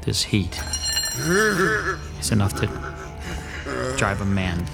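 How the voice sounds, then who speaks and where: An actor playing a weary artist in a radio drama, speaking a slow inner monologue. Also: male voice, on the low side, sing-song, gravelly, exaggerated voice. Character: artist haunted by a premonition, man, sweltering. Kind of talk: 1990s radio drama acting. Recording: radio play with music underneath.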